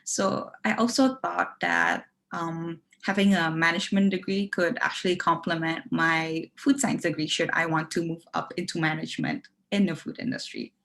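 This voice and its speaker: Slightly low-voiced young woman. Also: fairly even intonation, moderate pace, vocal fry, hardly any pauses, fairly bright tone. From Indonesia. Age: 20s. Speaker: international student panellist. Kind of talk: informal webinar interview answers.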